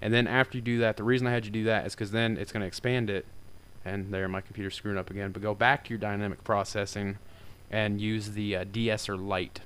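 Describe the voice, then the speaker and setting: A low-voiced man with a smooth, clean tone walks through a software tutorial. He talks informally and rambles a bit, using lots of fillers. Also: flat delivery, quick pace. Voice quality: deeper voice. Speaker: tutorial maker. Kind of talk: casual screen-recorded software tutorial.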